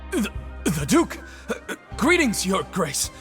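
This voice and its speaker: A man's voice: high-pitched, wildly swooping pitch, slow, fairly bright tone, full character voice. A man playing a nervous, fawning underling in a video game, stammering obsequiously. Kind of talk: English video game voice acting. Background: faint game music.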